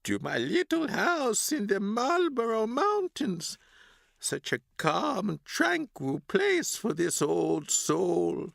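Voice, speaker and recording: A quiet, genteel old man presenting an eerie mystery theater. The voice sits very high and swoops about, unhurried, cryptic and contemplative. It is recorded dry. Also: wildly swooping pitch, gravelly, no echo, exaggerated voice. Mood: wry, cryptic. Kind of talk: theatrical audio drama banter.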